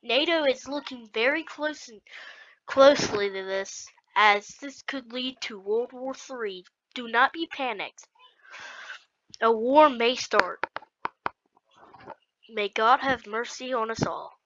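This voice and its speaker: A woman improvising a mock emergency news broadcast as the announcer, with a halting delivery, on a dull home recording. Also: on the high side, a bit bright.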